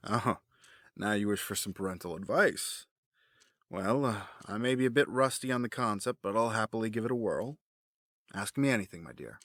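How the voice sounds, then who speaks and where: A man playing a smooth, scheming middle-aged gentleman with a fatherly front, speaking in measured, formal phrases in an audio drama. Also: fairly low pitch, expressive, no room sound, studio quality.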